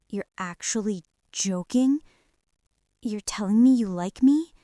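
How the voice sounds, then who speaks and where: A woman playing a shy, self-doubting teenage art girl in a girlfriend ASMR roleplay, speaking in a nervous, halting, rambling way. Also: mid-range voice, mellow, dry recording, clean studio sound. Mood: stunned, flustered.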